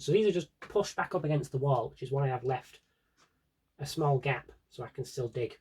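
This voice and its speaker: A man with a slightly husky voice chats casually as a fan showing off a collection, rambling with wry, dry asides. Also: medium pitch. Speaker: audio drama collector and fan. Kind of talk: casual rambling shelf-tour vlog chat.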